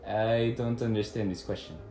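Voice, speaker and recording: A man playing a flustered, defensive French husband with a French accent and halting, evasive delivery in a puppet-show mystery. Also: lowish voice, fairly even intonation, warm tone, echoey room, soft background music, close to an everyday voice. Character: Emil, defensive French husband of a murdered woman.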